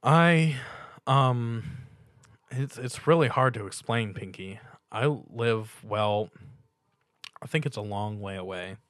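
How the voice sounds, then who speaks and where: A man's voice: mid-range voice, slow pace, long pauses, fairly bright tone, dry recording, studio quality. A man voicing a shy, good-natured young male cartoon pony with an awkward, hesitant way of talking. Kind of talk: dramatic fan fiction reading.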